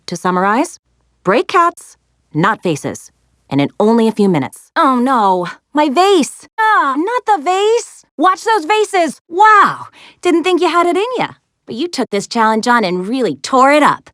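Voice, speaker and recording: An actress voicing a plucky, sassy young woman adventurer in a video game, expressive and wry as she briefs heroes. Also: fairly high pitch, unhurried, no room sound, clean studio sound. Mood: dismayed, then impressed, cheering. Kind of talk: animated video game character lines, giving mission briefings.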